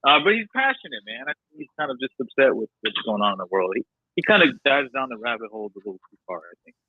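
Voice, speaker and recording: A man's voice: medium pitch, sing-song, quick pace, slightly rough, no echo. A man chatting casually as a panellist on a podcast. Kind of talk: casual chat. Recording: dull, lo-fi dry sound.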